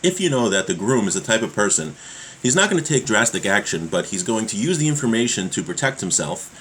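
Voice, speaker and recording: A man giving a religious law lesson, reading from a text and explaining it in a halting way, repeating his points. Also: lowish voice, big pitch swings, fairly bright tone, a little hiss or hum, low-quality recording. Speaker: religious teacher.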